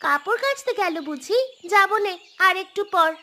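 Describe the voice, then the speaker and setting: Woman playing a very high-pitched, bossy village girl. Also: animated, bright, forceful tone, exaggerated voice. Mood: sly, eager. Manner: scolding, quick to make excuses. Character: mischievous bossy village girl, an elder sister. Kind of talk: acted audio story dialogue.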